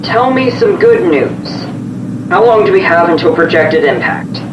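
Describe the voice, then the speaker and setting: An actor playing an alarmed, sardonic government official in an audio drama, incredulous and emphatic. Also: male voice, high-pitched, animated, a little slow. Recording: roomy, distant, dull, noisy vehicle ambience.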